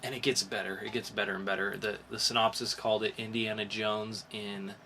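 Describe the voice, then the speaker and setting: A man's voice: lowish voice, flat delivery, brisk, sharp tone, a little hiss or hum. A man co-hosting a podcast, chatting casually and explaining things about comics in an informal way. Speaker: podcast co-host reviewing comics. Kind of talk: casual chat.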